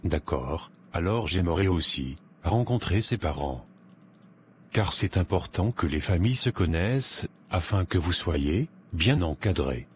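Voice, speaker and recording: A man playing a calm, measured, devout father in an animated drama, speaking formally. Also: deep voice, slight background noise. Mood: serious, advising. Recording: music behind the voice.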